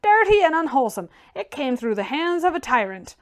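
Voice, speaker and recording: A woman voicing a blunt, fiery, mocking gentleman reformer in a forceful, declamatory audiobook reading. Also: high-pitched, sing-song, a little fast. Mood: scornful, heated.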